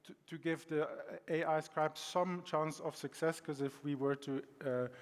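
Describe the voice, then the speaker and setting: A man's voice: fairly high pitch, fairly even intonation, moderate pace, clean tone. A middle-aged man from Belgium with a Belgian accent, giving a conference presentation with frequent ums and restarts. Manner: hesitant, many ums and restarts. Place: conference hall. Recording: long hall reverb.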